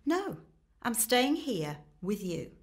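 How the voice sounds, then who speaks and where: A woman's voice: a little high, sing-song, takes it slowly, full character voice. A woman playing an exasperated, worried wife, reproachful and insistent, in a radio comedy. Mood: irritated. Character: exasperated, worried wife delivering firm ultimatums.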